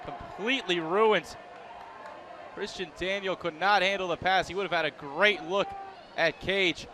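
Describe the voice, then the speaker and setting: A young American man calling a live lacrosse game as play-by-play announcer, with an energetic announcer's delivery. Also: high voice, unhurried. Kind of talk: live lacrosse sports commentary. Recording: live game, loud crowd noise behind. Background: crowd and outdoor stadium ambience.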